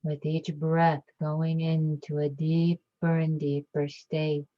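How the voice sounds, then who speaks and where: A woman with a low voice leads a guided meditation in a calm, soothing way. Her delivery is very slow and level, with gentle phrases set apart by long pauses. The recording sounds a little dull.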